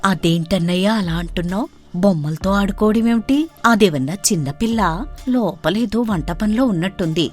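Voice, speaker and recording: Woman as soft-spoken matriarch, mid-pitched, music underneath. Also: moderate pace, lightly acted. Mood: gentle. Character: gentle widowed mother of the household.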